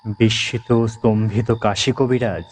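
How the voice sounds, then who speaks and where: A man narrating a scripted horror story in a dramatic, measured, suspenseful manner. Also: lowish voice, steady tone, husky.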